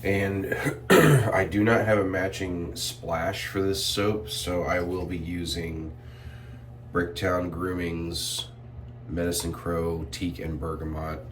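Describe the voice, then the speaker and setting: Low deep guy rambling thru a shave soap review, lots of ums and throat clearing, kinda sniffly. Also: slow, recorded from a distance, a little hiss or hum. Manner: relaxed, rambling with many fillers and throat clearing. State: sniffly from irritated sinuses. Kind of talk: casual product review vlog.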